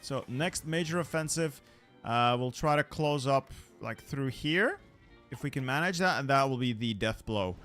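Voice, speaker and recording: A man's voice: medium pitch, normal speed, a bit bright, soft background music. A man hosting a gaming channel, giving wry, playful live commentary on a strategy game, his voice slightly worn. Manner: wry, playful, jokey asides.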